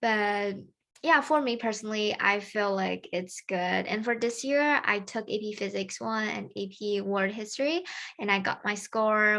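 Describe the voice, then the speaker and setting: A teenage girl from China talks to her peers in English, with a Mandarin Chinese accent. She pauses often, fills gaps with "uh" and "like", and restarts her sentences. Her voice sits at a middle pitch for a woman. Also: gravelly, fairly bright tone. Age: teens. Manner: casual, halting, many fillers and restarts. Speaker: student presenter. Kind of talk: recorded presentation to peers.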